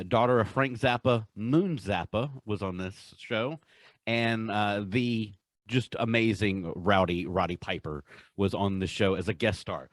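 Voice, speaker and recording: A man's voice: lowish voice, dry recording. A man co-hosting a podcast, chatting casually and enthusiastically through a countdown, repeating himself for emphasis. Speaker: podcast co-host. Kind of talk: casual pop-culture countdown chat.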